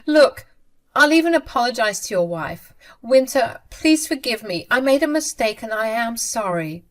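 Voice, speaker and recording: A frantic, begging mother-in-law in a storybook tale, her voice pushed up high and loud, as a woman narrator puts her on. She pleads and protests with rising urgency. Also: animated, moderate pace, close to an everyday voice. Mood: pleading. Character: desperate pleading middle-aged mother-in-law. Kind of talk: storybook reading.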